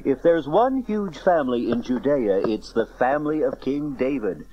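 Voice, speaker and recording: Jovial father of a grown daughter male actor, animated and teasing, audio Bible drama, muffled cassette sound. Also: mid-range voice, moderate pace, lightly acted.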